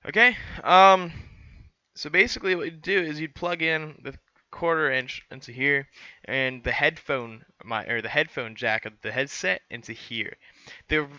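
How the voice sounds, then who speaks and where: A man's voice: fairly high pitch, sharp tone, no room sound, muffled recording. A young man explaining a how-to in a casual, rambling way, full of fillers and restarts, on a headset mic in a small room. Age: young adult. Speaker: student pilot vlogger. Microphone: headset boom mic.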